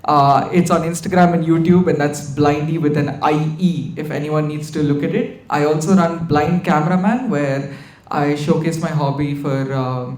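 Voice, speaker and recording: A young man introducing himself on a panel, with many pauses and fillers. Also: on the high side, darkish tone. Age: young adult. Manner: halting, many fillers and restarts. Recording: echoey hall, faint ambience.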